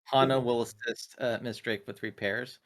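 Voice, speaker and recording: A man's voice: mid-range voice, fairly even intonation, moderate pace. A man playing a tabletop roleplaying game, casually explaining rules and narrating his character's moves.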